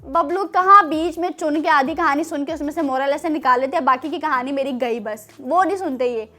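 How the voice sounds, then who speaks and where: Kids' show host: Pakistani-accented woman, very high pitch, expressive storytelling delivery, background music. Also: fairly quick. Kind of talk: animated storytelling and presenting for children.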